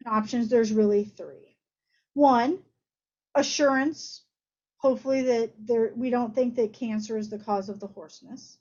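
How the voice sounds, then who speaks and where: A woman's voice: on the high side, fairly even intonation, unhurried, dark tone. A woman doctor giving an informal medical lecture, explaining things conversationally with everyday analogies over slightly muffled audio.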